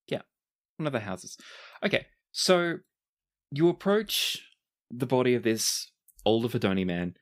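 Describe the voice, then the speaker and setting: A game master runs a tabletop roleplaying game in a mid-pitched voice. The narration is dramatic and descriptive, broken up by chatty, casual asides to the players. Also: big pitch swings, a little slow, pauses often.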